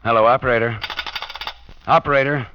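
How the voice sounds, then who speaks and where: A man's voice: mid-range voice, steady tone, fairly quick, slight background noise, natural voice. An actor playing a wry, wisecracking American private detective who narrates his own case in 1940s radio noir style. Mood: urgent. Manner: wisecracking, colloquial, dramatic. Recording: dull old radio recording with music.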